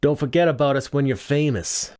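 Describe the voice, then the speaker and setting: A man's voice: medium pitch, brisk, darkish tone, dry recording, studio quality, pitched above the performer's own voice, natural voice. A man voicing a friendly old burger cook calling out to a youngster in an audiobook. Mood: cheerful calling.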